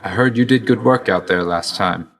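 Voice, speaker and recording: Man voicing a low-voiced, reserved god of death. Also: lightly acted. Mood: reserved, quietly fond. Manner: measured, formal, understated. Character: male god of death, reserved, dutiful, weary, quietly fond. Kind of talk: video game character voice lines.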